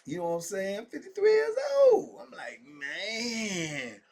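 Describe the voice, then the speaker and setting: Man, 50s, husky voice, loose street-slang storyteller, talks straight to his viewers. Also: high voice, huge pitch swings, a little slow. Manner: street slang, many fillers like "man" and "you dig"; sometimes drops to a whisper. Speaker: vlogger telling his own prison story. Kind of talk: casual storytelling monologue to camera.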